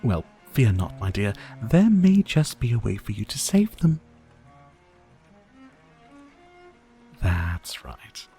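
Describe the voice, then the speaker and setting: Guy doing a sadistic vampire lord asmr, silky soft dark tone, slow n formal, teasing, music behind. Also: fairly low pitch, very animated, vocal fry, full character voice. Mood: silky, predatory. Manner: silky, formal, theatrical, teasing, drawn-out phrasing. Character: sadistic, courtly male vampire lord, dominant and mocking. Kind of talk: ASMR roleplay, dramatic monologue to listener.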